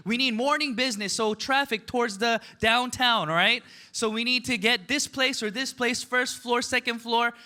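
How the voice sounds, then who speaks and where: A man's voice: high voice, bright, forceful tone. A man, giving a motivational seminar talk, asking the audience questions and tagging his points with "right?" and "okay?". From United States. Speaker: motivational speaker.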